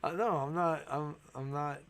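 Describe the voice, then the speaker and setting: A man's voice: a little high, steady tone, normal speed, fairly bright tone, clear, resonant tone. A man bantering on a shop livestream, teasing and dry. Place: sewing and vacuum shop.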